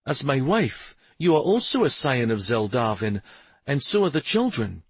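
A man voicing an angry, commanding prince bent on justice in a fantasy audiobook, speaking forcefully. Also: sing-song, moderate pace, husky, no echo, exaggerated voice. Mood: righteous anger. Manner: forceful, commanding, low intense speech. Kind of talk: fantasy audiobook character dialogue.

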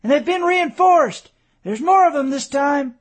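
Young boy soldier, pitched way up, loud and urgent, voiced by a man. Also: expressive, measured pace. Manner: urgent calling out. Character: excited young male soldier in a tree.

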